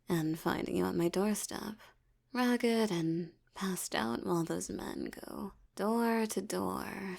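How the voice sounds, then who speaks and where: A woman playing a haughty, predatory female vampire in intimate audio roleplay, teasing and condescending, with a breathy murmur that slips toward a whisper. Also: mid-range voice, a little mellow. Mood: amused, indulgent. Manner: teasing, condescending, slow deliberate delivery.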